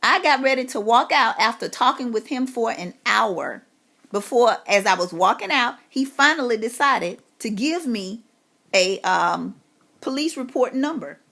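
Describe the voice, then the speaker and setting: A woman's voice: fairly high pitch, expressive, measured pace, vocal fry, fairly bright tone. An aggrieved woman making a long complaint on a phone call, speaking insistently and emphatically. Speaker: caller, parent making a complaint.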